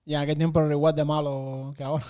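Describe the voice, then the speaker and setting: A man's voice: a little high, little pitch movement, very fast, dark tone, clear, resonant tone. A man co-hosting a casual podcast, asking short curious questions. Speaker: podcast co-host.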